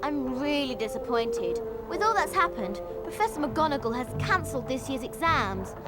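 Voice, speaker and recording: A woman's voice: sits high, lively intonation, moderate pace. A woman voicing a studious, earnest and chatty schoolgirl witch in a video game, prim and eager. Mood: earnest, disappointed. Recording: loud music under dialogue.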